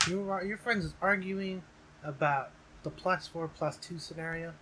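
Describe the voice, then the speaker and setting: A man's voice: a little high, a little slow, background noise. A young adult man co-hosting a podcast, chatting casually in slangy language with plenty of swearing. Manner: profane, slangy. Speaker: podcast co-host. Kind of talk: casual chat, banter.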